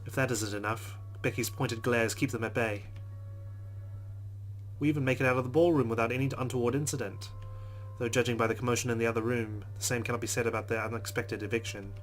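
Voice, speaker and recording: A man reading a visual novel aloud as a let's play host, in a plain, measured voice. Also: mid-range voice, fairly even intonation.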